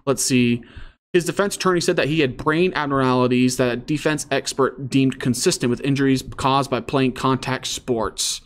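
A man's voice: medium pitch, normal speed, smooth. A man hosting online commentary, reading news aloud and reacting casually.

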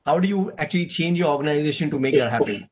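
A middle-aged man moderating a panel on a video call, animated and often adding "right?". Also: fairly high pitch, racing. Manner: animated, gesturing, tag question "right?". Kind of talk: casual panel discussion. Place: room at home with blinds and shelves. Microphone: video call webcam.

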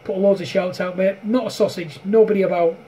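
Male radio hobbyist, notably high voice, informal banter, recorded with faint room noise. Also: steady tone, fairly quick, mellow. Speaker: amateur radio operator filming a contact. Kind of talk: casual radio chat.

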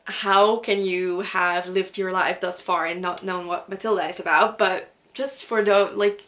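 A woman's voice: mid-range voice, fairly even intonation, unhurried, fairly bright tone. Young Dutch-speaking Belgian woman, gushing casual vlogger, recorded at home on a desk camera. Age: young adult. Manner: enthusiastic, gushing with repeated praise. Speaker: book vlogger. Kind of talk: casual chat and reading aloud. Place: small room at home, indoors.

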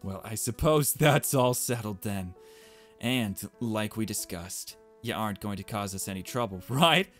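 Man voicing a low-pitched, prim headmistress. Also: animated, a little slow, fairly bright tone, soft background music. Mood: nervous, veiled threat. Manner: prim, formal, over-polite. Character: polite, chirpy creature headmistress with veiled menace.

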